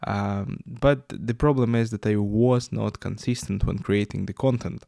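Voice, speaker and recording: Young man with a gravelly voice. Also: on the low side, steady tone, measured pace, mellow, no echo, clean studio sound. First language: Russian. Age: 20s. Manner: rambling, frequent fillers and restarts. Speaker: podcast host. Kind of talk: casual solo podcast monologue.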